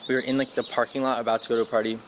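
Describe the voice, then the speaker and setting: A young man from the Los Angeles area chats in a loose, easygoing way. His voice sits at a middle pitch and he fills his sentences with "like" and small laughs. Faint street noise drifts in from behind. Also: level tone, rapid-fire. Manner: laid-back, laughs easily, many fillers. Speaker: musician guest. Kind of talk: casual interview chat. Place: outdoors on a sidewalk.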